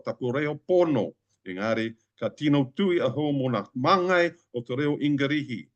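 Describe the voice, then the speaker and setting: Measured Māori-speaking New man. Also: mid-range voice. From New Zealand. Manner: measured, with hesitant fillers and restarts. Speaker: reader at online reading. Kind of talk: reading his own flash fiction, with spoken introductions. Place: home in Hong Kong. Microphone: video call.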